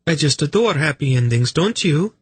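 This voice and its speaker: A man's voice: mid-range voice, sing-song, moderate pace, breathy edge, no room sound, pitched above the performer's own voice. A man voicing an impish, shrewd young woman of the court in a storybook, courteous and slyly teasing. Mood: gleeful, teasing. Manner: bright, courteous, slyly probing.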